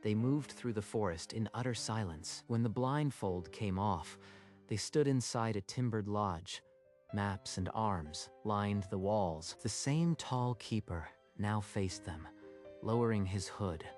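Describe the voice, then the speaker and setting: A man's voice: lowish voice, expressive, slow, darkish tone. A man reading dramatic scripted story narration as the narrator. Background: background music.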